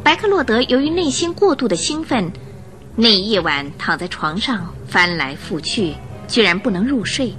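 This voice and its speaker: A woman reading scripted story narration for a radio drama in an expressive way. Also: medium pitch, normal speed, music in the background.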